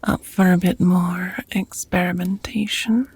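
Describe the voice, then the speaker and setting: A woman playing a seductive, doting vampire roommate in an intimate ASMR romance roleplay, whispering softly with teasing, formal endearments. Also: lowish voice, fairly even intonation, dry recording, heavily acted. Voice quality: soft, breathy whispering. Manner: slow, sultry, formal wording with pet names.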